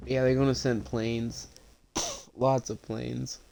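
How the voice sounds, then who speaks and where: A man's voice: mid-range voice, level tone, takes it slowly, gravelly. A man doing casual, slangy live commentary as he streams a video game. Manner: slangy, swearing. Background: loud game music and vehicle noise.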